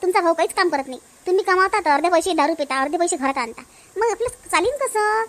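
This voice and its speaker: Casting: aggrieved young wife acted by a woman, extremely high voice, Varhadi Marathi accent, lamenting and nagging, cartoon comedy. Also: expressive, fast talker. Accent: Varhadi Marathi from Maharashtra. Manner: complaining, lamenting. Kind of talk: hobby cartoon comedy dialogue.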